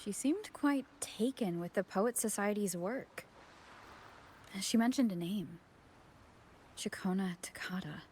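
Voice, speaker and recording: An actress playing a puzzled, quiet wanderer in a fantasy game, with a hesitant manner. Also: female voice, mid-range voice, expressive, slow pace, long pauses, slight background noise. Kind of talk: game dialogue voice acting.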